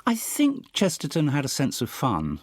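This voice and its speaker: A man's voice: fairly high pitch, huge pitch swings, moderate pace, no echo. A man, a crime writer and club president, chatting in an interview with a droll, conversational manner. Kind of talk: interview chat.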